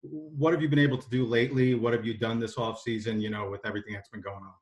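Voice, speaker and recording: A man, a sports reporter asking a question in a halting way with rephrasing. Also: medium pitch, steady tone, darkish tone, rough recording. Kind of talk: press conference question.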